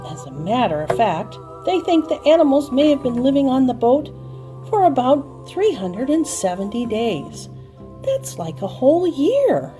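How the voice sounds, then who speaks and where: Slow, very animated woman storyteller. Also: high-pitched. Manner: expressive, dramatic emphasis with repeated phrases and gasps. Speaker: children's storyteller at a church. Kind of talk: storytelling for young children. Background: music and sound effects.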